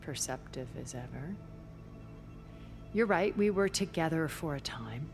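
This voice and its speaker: A poised, wistful woman from a video game, speaks in a low register with careful, softly breathed phrasing. Her manner is formal and controlled, and music plays clearly behind her. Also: lively intonation, unhurried. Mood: gracious.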